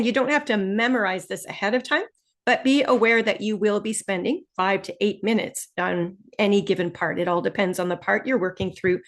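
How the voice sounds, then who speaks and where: A woman from Canada with an Ontario accent, teaching an online test-prep webinar in a friendly, explanatory way and slowing down to stress key points. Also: mid-range voice.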